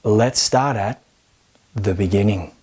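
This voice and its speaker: A man's voice: fairly low pitch, slow, a little mellow, husky. A man hosting a scripted film video essay, speaking in an emphatic, playfully argumentative and colloquial way. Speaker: film commentary host.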